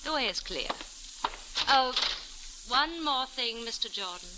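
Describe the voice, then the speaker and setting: A woman's voice: fairly high pitch, lively intonation, unhurried. An actress playing a cool, threatening female spymaster in an old radio drama, precise, formal and imperious. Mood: cool, commanding. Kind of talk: 1940s radio detective drama acting. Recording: old radio recording with faint hiss.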